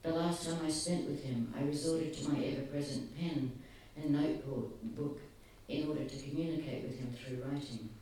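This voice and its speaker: A soft-spoken man giving an earnest, halting public talk, often pausing. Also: fairly high pitch, steady tone. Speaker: speaker at a gathering. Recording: very echoey, distant, faint ambience.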